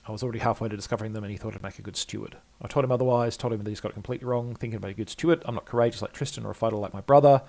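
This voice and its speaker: A man acts out a fretful scholar from a fantasy game journal, gravelly and quick. He rambles, repeats himself and frets over his own sanity. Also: fairly low pitch, steady tone, mellow. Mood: reeling, anxious. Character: anxious, muttering male scholar doubting his own sanity. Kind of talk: dramatic reading of game journal entries.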